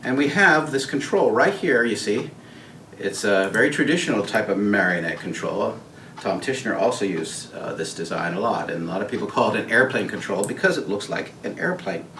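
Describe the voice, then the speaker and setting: A man's voice: on the low side, lively intonation, normal speed, a bit bright. A man hosting an informal puppeteer's show-and-tell tour, talking off the cuff with theatrical showman phrasing and emphatic repeats. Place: indoors, backstage and storage rooms of a puppet theater. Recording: echoey, distant mic with background noise.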